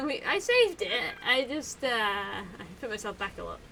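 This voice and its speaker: Young woman streamer, giggly, slow-paced, animated pitch, noisy home mic. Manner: giggly, talks to herself while playing. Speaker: game streamer. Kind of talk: casual gameplay commentary.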